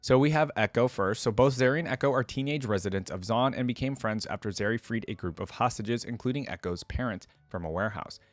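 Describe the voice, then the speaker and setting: A man's voice: mid-range voice, fast talker, few pauses, dry recording. A man hosting a reaction video, commenting casually and thinking aloud in an informal way as he goes.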